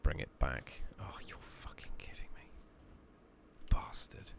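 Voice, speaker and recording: A man giving soft-spoken, partly whispered ASMR commentary on a game, muttering casually to himself on a noisy home mic with clicking. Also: slow pace. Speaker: ASMR gaming streamer. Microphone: makeshift rigged-up close microphone.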